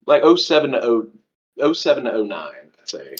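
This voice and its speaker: A man co-hosting a casual podcast, laid back and quick to react. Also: mid-range voice, sing-song, highs cut off, slight background noise. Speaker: podcast co-host. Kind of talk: casual chat.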